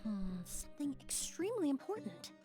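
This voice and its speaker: A woman's voice: high-pitched, sing-song, normal speed, over music. A woman playing a poised, analytical young lady in an anime game, composed and articulate. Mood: pensive.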